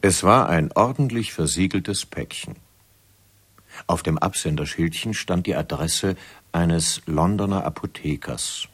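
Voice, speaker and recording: A grave storyteller with an extremely low voice. He narrates a radio mystery soberly, as scripted drama. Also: unhurried, breathy edge.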